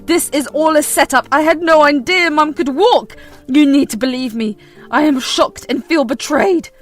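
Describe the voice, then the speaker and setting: A woman plays a furious husband, insisting and pleading in turn. His voice stays high and bright rather than deep, carried by forceful emphasis, over faint music. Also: moderate pace, natural voice. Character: adult man, defensive, angry husband.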